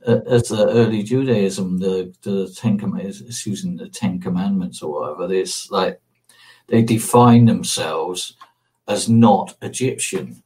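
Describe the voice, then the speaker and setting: A man's voice: deep voice, unhurried, darkish tone, slightly rough. A man in an informal discussion, explaining history as a guest in a hesitant way, often rephrasing as he goes. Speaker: interview guest on magic and ancient religion.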